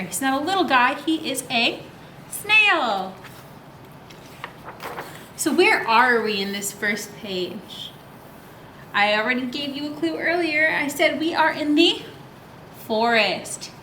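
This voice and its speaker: High, lively woman hosting children's story time. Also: slow pace. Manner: expressive, addresses young children with leading questions. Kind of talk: interactive picture book read-aloud with questions to children. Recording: roomy echo, faint background noise.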